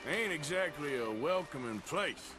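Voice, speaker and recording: A world-weary outlaw gunman in a western video game. He talks slowly in a fairly high male voice, folksy and dryly wry, with loud music underneath. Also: lively intonation. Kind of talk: video game cutscene acting.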